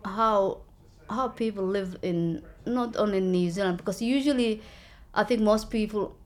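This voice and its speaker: A woman, telling her story in an interview with an earnest, halting manner and many fillers. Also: medium pitch. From Somalia. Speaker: local election candidate.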